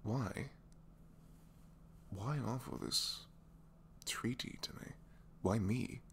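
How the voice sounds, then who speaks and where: Man acting a deep, creaky, gentle supervillain. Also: very slow, a little hiss or hum, full character voice. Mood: guilty, bewildered. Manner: hesitant, soft-spoken, rambling, often dropping to whispers. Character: captured supervillain, gentle, bookish, shyly earnest dreamer. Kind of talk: intimate ASMR audio roleplay.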